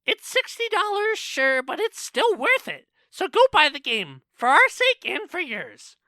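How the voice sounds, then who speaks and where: A woman's voice: high-pitched, a little slow, dry recording, heavily acted. A woman voicing a cheeky, opinionated male cartoon monkey in a fan audio drama, chatty and sassy. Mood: earnest, pleading. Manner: animated, sassy. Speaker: co-host of a fan question show. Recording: dry voice over background music.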